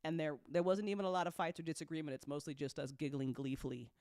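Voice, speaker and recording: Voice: medium pitch, fairly even intonation, fast, darkish tone, no echo, studio quality. A host giving a casual livestream update, talking effusively with casual swearing and a giggly, easily amused manner. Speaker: host and audio drama creator. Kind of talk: casual livestream update, reading and answering fan comments.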